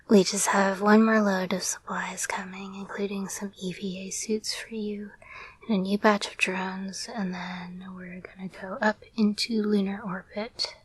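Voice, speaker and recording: Affectionate girlfriend caretaker, female performer for intimate roleplay audio: unhurried, gentle, playful, hushed and breathy, murmured close. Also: medium pitch. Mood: calm, informative. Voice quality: soft, breathy whisper.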